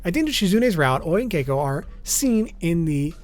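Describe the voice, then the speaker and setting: Higher-voiced, animated man narrating an explainer. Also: moderate pace. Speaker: video host. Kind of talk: scripted explainer narration with casual asides. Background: background music.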